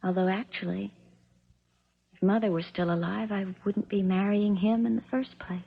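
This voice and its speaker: A young, spoiled American daughter with a stubborn streak. Her voice sits at an average pitch and has a husky, rough edge. The recording is a dull 1970s radio drama. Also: steady tone, moderate pace. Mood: guarded, casual. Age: young adult.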